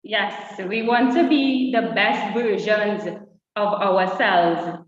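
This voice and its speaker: A woman's voice: medium pitch, slow pace. A woman leads a public speaking workshop and puts questions to her listeners. She sounds far from the mic, with a long, roomy reverb around her voice. The sound is dull and lo-fi, with a faint hiss underneath. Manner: engages the audience with direct questions. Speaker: workshop co-presenter. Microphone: online session audio. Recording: dull distant echoey hall sound.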